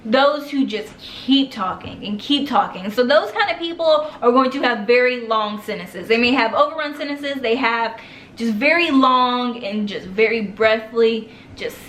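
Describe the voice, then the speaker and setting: A woman's voice: on the high side, a bit bright, echoey room, a little hiss or hum. A young woman giving casual writing advice in a chatty, loose way, full of fillers and restarts. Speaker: vlogger giving writing tips.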